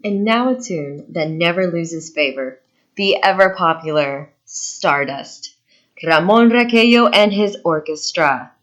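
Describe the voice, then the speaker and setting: A genteel, formal female radio announcer played by an actress in a 1930s-style radio play. Her voice is fairly low and the recording is dull and lo-fi. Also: lively intonation, a little slow. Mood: genteel, presentational. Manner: formal, presentational. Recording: dull, lo-fi sound.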